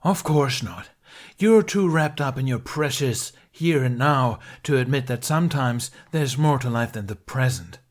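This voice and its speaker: A man's voice: medium pitch, expressive, a little slow, pitched above the performer's own voice, lightly acted. A man voicing a furious, grieving middle-aged knight who speaks in a heated, accusing, raised voice in an audiobook reading.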